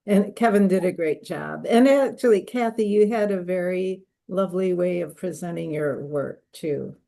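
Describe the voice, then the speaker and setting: A poet, a woman who is hoarse from a cold, speaking hesitantly on a video call and clearing her throat often. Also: fairly low pitch, normal speed, mellow. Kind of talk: casual discussion. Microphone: computer mic on a video call.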